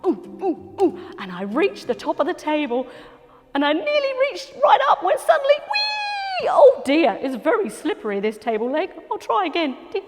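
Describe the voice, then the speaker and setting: Woman doing a gasping, excitable young boy. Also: sits high, normal speed, big-room sound, a little hiss or hum. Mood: excited. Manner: gasping, breathless, sing-song. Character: excitable young boy hero, cheeky, wide-eyed. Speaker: storyteller actor. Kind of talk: theatrical children's storytelling.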